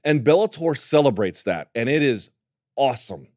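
An emphatic man hosting a sports talk show, leaning hard on his points in a middle-pitched voice. The sound is dry and close, with no room around it. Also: moderate pace, no room sound. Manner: animated, emphatic, calls co-host dude. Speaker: podcast host. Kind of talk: casual MMA talk.

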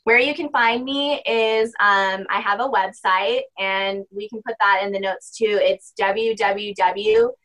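A woman's voice: mid-range voice, fairly even intonation, measured pace, few pauses, a bit bright, low-quality recording. A young woman talking casually as a podcast guest, rambling with lots of filler words. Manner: rambling, heavy use of "like" and "you know". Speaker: podcast guest, spiritual practitioner. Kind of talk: casual interview chat.

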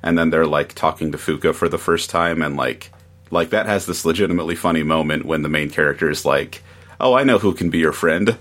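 A man chatting casually as a podcast guest, with a halting, tentative delivery. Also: very deep voice, fairly bright tone. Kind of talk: casual chat.

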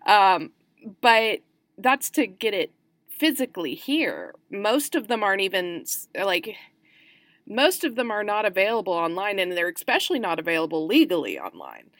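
A woman's voice: medium pitch, very animated, moderate pace, a bit bright, dry recording. A woman giving her opinions as a panelist in a casual podcast review chat.

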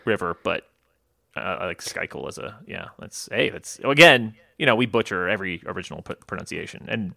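Man with a gravelly, bright voice. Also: sits low, a little fast, no echo. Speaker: podcast co-host. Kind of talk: casual chat.

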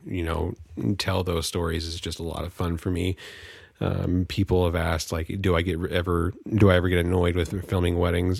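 A man's voice: deep voice, gravelly, darkish tone, no room sound, clean studio sound. A Californian American man hosting a podcast solo, talking casually in a rambling, jokey, informal way. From California, United States. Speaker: podcast host.

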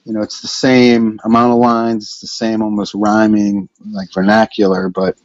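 Man, lowish voice, rambling interviewee, dry and dull remote audio. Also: flat delivery, moderate pace, darkish tone, no room sound. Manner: rambling, lots of fillers and restarts. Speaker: band member, vocalist and lyricist. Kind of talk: casual podcast interview.

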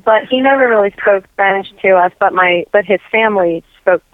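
A woman's voice: mid-range voice, fairly quick, no echo. Earnest rambling woman on a phone line. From New York and New Jersey. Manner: earnest, rambling, full of "you know" fillers. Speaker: guest. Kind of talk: casual testimony interview. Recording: dry tinny phone-like line.

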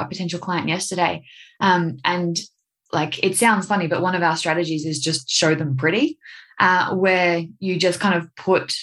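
Chatty young Australian woman, lowish voice. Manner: chatty, frequent ums, laughs easily. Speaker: guest, social media marketer. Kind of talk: casual podcast interview.

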